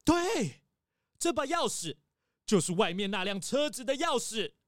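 A woman's voice: fairly high pitch, sing-song, measured pace. A woman voicing a sly, dramatic male fox who whines and accuses others in a children's audio drama. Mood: accusing. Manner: theatrical, whining, accusing. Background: background music.

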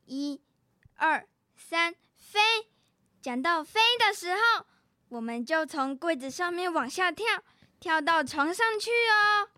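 A woman's voice: very high-pitched, bright, forceful tone, no echo, clean studio sound, exaggerated voice. A young girl acting in a children's radio drama as a bossy, scheming big sister. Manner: bossy, coaxing.

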